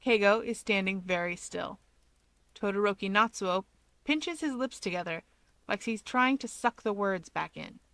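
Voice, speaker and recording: A woman reading a story aloud as narrator, with expressive scripted delivery. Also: mid-range voice, normal speed, no room sound.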